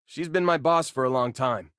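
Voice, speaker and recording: An actor playing an easygoing young space miner in a video game, with a casual, joking way of talking. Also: male voice, medium pitch, moderate pace, fairly bright tone, no echo, studio quality, close to an everyday voice. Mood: casual, wry. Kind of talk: video game companion voice lines.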